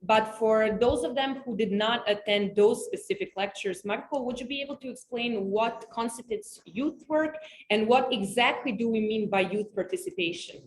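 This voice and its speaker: A woman's voice: fairly high pitch, normal speed, few pauses, slight background noise. Woman moderating in an echoey room. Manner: organized, courteous, posing questions. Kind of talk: moderating a panel discussion. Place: conference room. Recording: echoey room, faint ambience.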